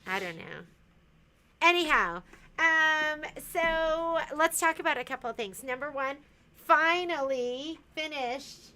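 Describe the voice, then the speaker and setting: A woman hosting a relaxed livestream from a sewing shop, showing products in a chatty, teasing way. Her voice sits high and sounds bright and clear. She giggles easily between points. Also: takes it slowly. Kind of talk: casual livestream product talk.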